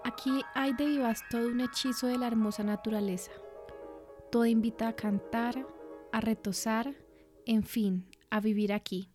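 A woman's voice: on the high side, steady tone, moderate pace, music in the background, close to an everyday voice. A woman playing an ardent, dreamy lover who reads her poetic letter aloud in a radio drama, in a lyrical manner. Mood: dreamy, enamored.

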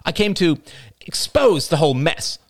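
A man's voice: sits high, lively intonation, measured pace, darkish tone, breathy edge, no room sound, studio quality, voice pushed up, full character voice. A man voicing an anxious but defiant young woman reporter in an audiobook, pleading and protesting. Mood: indignant, defiant.

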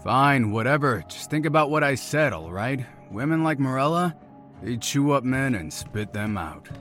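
An actor playing a pushy, needling best buddy of about thirty in an audio drama, brash and blunt in manner. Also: male voice, mid-range voice, animated, normal speed, fairly bright tone, faint music behind, close to an everyday voice. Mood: dismissive. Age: around thirty.

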